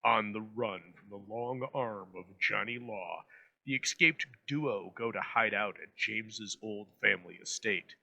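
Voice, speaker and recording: A man's voice: on the low side, a little slow, gravelly, sharp tone. A man presenting an episode in scripted narration with a dramatic, florid storyteller's delivery.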